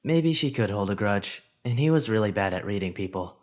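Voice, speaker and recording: A man's voice: fairly low pitch, animated, fairly quick, a little mellow. A man narrating a fan-made audiobook of a story, reading expressively and lifting his voice for the hero's inner thoughts.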